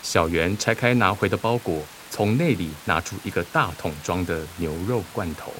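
Deep-voiced male narrator. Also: expressive, normal speed, a bit bright. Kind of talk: scripted radio drama narration. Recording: faint background hiss.

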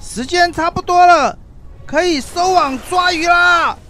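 Male actor as fervent fisherman disciple, very high voice, declamatory, awed, biblical radio drama, faint music. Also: unhurried. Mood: urgent excitement. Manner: exclamatory, earnest, declamatory. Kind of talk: Chinese-language biblical radio drama acting.

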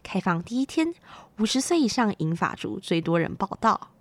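A woman's voice: mid-range voice, big pitch swings, normal speed, a little hiss or hum. A young adult woman with a Taiwanese Mandarin accent reading a scripted news report as a student reporter.

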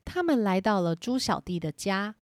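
A woman's voice: medium pitch, sing-song, normal speed, dry recording, studio quality. A woman narrating a scripted children's story.

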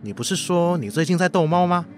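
A man's voice: high voice, lively intonation, fast. A man playing a chatty, nagging teenage schoolboy classmate in a radio drama, breezy and pestering, with a Taiwanese accent. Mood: pestering, surprised. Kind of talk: Mandarin boys' love radio drama acting.

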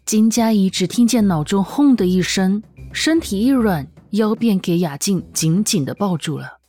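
一位女性有声小说旁白，照着剧本富有表情地讲述故事。. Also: female voice, mid-range voice, expressive, moderate pace, dark tone. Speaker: audiobook narrator. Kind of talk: expressive scripted story narration. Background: background music.

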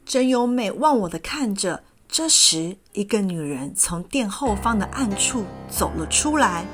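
Gentle woman storyteller, Taiwanese Mandarin. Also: mid-range voice, measured pace. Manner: gentle, even read-aloud pacing. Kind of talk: scripted storybook narration. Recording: faint music underneath.

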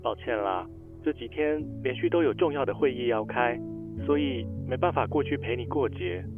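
A man's voice: mid-range voice, moderate pace, music in the background. A man acting a caring, teasing suitor in a radio drama, heard through a telephone filter. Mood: teasing, apologetic. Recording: dull phone-like narrow band.

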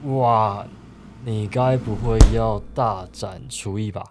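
An actor playing a sensitive, unsettled man around forty in a radio drama, speaking soft, introspective Taiwanese Mandarin. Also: lowish voice, slow pace, background noise. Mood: casual, surprised. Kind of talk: radio drama narration and dialogue. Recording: roomy echo, music behind.

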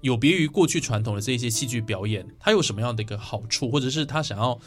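A man with a Taiwanese Mandarin accent hosts a studio interview over soft music. His pitch is mid-range, and he often sums up and seeks agreement with short tag questions. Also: lively intonation, fast. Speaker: programme host.

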